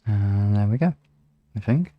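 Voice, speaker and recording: A man hosting a live ASMR hypnosis session, guiding relaxation in a soft, soothing, gently instructing manner. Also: fairly low pitch, animated, measured pace, no room sound, highs cut off.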